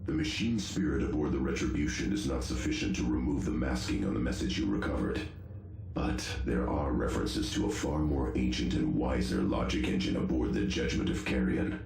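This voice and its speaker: A man's voice: rumbling low, moderate pace, darkish tone, slight background noise. A man playing a solemn, scholarly space marine technician in a video game, giving formal and precise briefings. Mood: solemn, certain. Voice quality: gravelly. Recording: roomy game voice over music.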